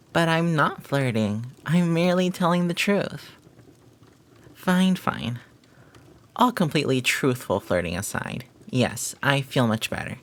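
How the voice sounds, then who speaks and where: A performer playing a flirty, devoted maid who teases and gossips in formal, flowery phrasing, in a scripted romantic audio roleplay. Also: medium pitch. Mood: playful, curious.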